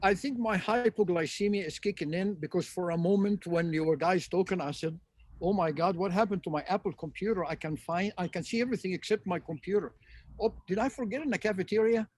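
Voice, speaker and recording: Egyptian guy w/ accent, pretty high voice, chatting on a panel video call, acts out little dialogues. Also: fairly quick. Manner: animated, acts out imagined exchanges. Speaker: symposium speaker. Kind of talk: informal panel discussion.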